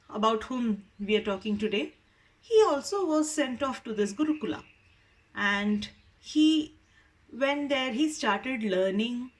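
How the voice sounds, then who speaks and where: A woman with an Indian accent telling a story informally, in a conversational, explanatory way with expressive emphasis. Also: high voice, far from the mic, low-quality recording.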